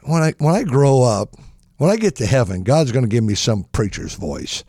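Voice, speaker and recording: A man with a raspy voice preaching an impassioned sermon, stammering and restarting, then surging into shouted praise. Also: medium pitch, sing-song, moderate pace, no echo, studio quality. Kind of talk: fervent radio sermon.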